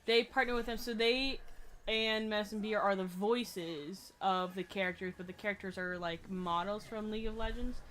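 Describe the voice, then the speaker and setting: A young woman streaming a game with a friend, chatting casually in a loud, projecting voice. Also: mid-range voice, fairly even intonation, normal speed, slight background noise. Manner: chatty, showing off plushies. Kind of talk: casual chat.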